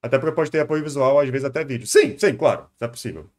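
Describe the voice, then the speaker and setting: A man's voice: medium pitch, very fast, soft background music. A man with a Brazilian accent giving casual, off-the-cuff commentary as a streamer, in an informal, slangy way. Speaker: streamer reacting to videos.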